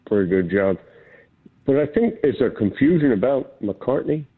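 A man's voice: mid-range voice, expressive, normal speed, slightly rough. A man making a casual call to a radio show over a phone line. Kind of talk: casual chat. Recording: narrow, tinny phone line.

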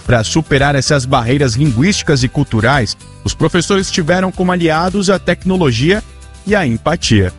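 A male reporter narrating a scripted news story in a measured read. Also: on the high side, animated, soft background music.

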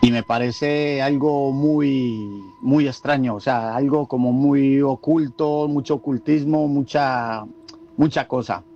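A man's voice: mid-range voice, fairly even intonation, slow pace. A Colombian man with a Colombian Spanish accent, talking casually as a caller to a radio show. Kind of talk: casual call-in talk. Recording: dull lo-fi call, some background noise.

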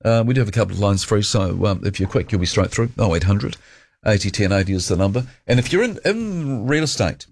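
A man's voice: lowish voice, animated, brisk, a little mellow. A man with a New Zealand accent hosting a talkback radio show, chatty and rambling as he takes calls. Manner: rambling and chatty, restarts often. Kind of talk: casual talkback chat. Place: radio studio.

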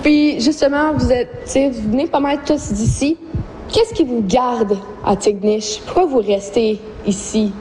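Casting: woman moderator, Canadian French accent, informal questioning, soft mellow tone, roomy sound. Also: fairly high pitch, animated, measured pace. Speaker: moderator of a community discussion. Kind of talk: casual group interview. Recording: roomy echo, faint background noise.

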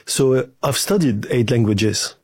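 Middle-aged guy from lebanon, calm thoughtful answers, dark husky voice, dry sound. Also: mid-range voice, no echo. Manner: measured, thoughtful, with fillers. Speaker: bank chief executive, guest. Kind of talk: interview answers.

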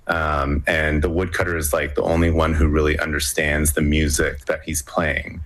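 A man's voice: a bass voice, little pitch movement, normal speed, a bit bright. A young man from Hong Kong, a musician, talking casually in an interview with many fillers and restarts. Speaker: musician guest.

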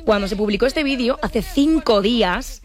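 A woman presenting a light news segment on a radio show in a lively, amused way. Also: on the high side, moderate pace. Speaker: radio reporter.

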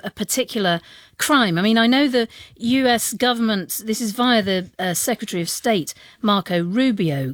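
Woman news interviewer, measured and probing, dry studio sound. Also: a little high, no room sound.

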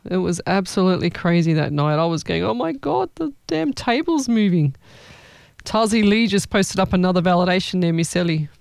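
A woman's voice: fairly low pitch, animated, a little fast, gravelly, darkish tone, dry recording. A woman hosting a casual live chat as a psychic medium, talking in an informal, exclaiming way.

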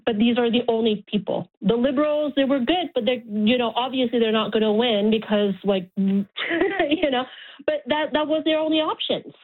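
A woman's voice: a little high, normal speed. A middle-aged woman calling a radio phone-in, speaking frankly and emphatically off the cuff and repeating words for stress. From Montreal, Canada. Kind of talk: spontaneous opinionated phone-in talk. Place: on a phone call to a radio show. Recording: muffled narrow-band phone line.

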